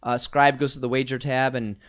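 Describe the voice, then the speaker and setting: A man's voice: medium pitch, little pitch movement, a little fast, a bit bright, smooth. A man hosting a live quiz night, reading out questions and answers with joking asides. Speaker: trivia quiz host.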